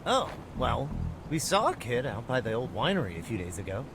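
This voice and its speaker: A man's voice: mid-range voice, big pitch swings, fairly quick. An actor playing a casual young male Spartan cadet in a video game, with noisy outdoor ambience behind. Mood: hesitant.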